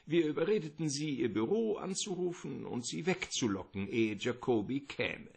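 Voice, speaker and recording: A husky, courtly crime boss. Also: male voice, mid-range voice, expressive, normal speed, a little mellow. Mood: smug storytelling. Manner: elaborate, courtly, theatrical politeness. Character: wordy, courteous, calculating middle-aged male crime boss. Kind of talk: radio play detective drama acting.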